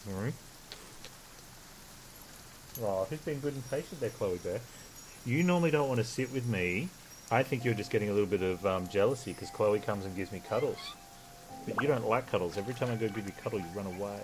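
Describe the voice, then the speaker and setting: A man's voice: on the low side, a little fast, pauses often, background noise. A man casually chatting and thinking aloud as a game streamer, with game music behind him. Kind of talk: casual live gameplay commentary.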